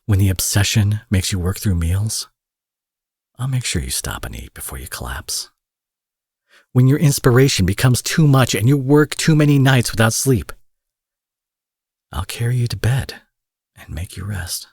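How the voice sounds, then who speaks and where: Man acting a deep, husky, possessive supervillain. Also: very animated, moderate pace, pauses often, no room sound, clean studio sound, heavily acted. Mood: tender, devoted. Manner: theatrical, coaxing, dropping from forceful to breathy murmurs. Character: smooth protective male supervillain courting his captive rival. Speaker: audio roleplay performer. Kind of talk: dramatic intimate audio roleplay monologue.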